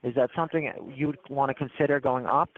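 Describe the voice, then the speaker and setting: A man working as a phone tech support salesman, running a smooth, persistent sales pitch and repeating his selling points over and over. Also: fairly even intonation, fast. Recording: tinny phone line.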